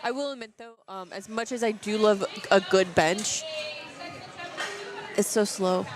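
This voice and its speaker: Halting young woman co-commentator. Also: medium pitch, music in the background, crowd noise. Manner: halting, filler-heavy, teasing. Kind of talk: casual color commentary.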